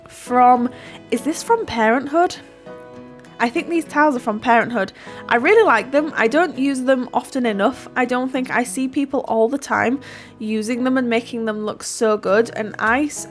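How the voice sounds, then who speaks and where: A woman, stuffy from hay fever, chatting casually through a voiceover of her building game, giggling and self-deprecating. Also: a little high. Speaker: YouTuber narrating a Sims build.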